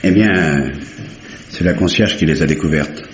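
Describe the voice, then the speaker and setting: An actor playing a dutiful, matter-of-fact police subordinate who reports in an orderly, deferential way in an old radio drama. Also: male voice, very deep voice, unhurried, slight background noise. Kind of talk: mid-century French radio drama acting. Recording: dull, roomy vintage radio recording.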